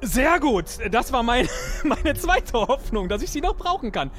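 A man role-playing casually as a guest player, playful and halting as he thinks aloud. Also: very high-pitched, sing-song, a bit bright, faint music behind. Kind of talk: casual improvised role-play.

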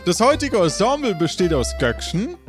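A man's voice: high-pitched, sing-song, moderate pace, faint music behind. A man hosting and narrating an improvised audio adventure as game master, in a playful, dramatic way.

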